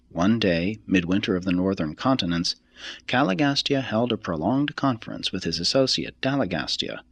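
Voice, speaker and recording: A man reads a religious text aloud as scripted narration, in a husky voice with a measured, formal and deliberate delivery. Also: fairly low pitch, a bit bright, no echo. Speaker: audiobook reader.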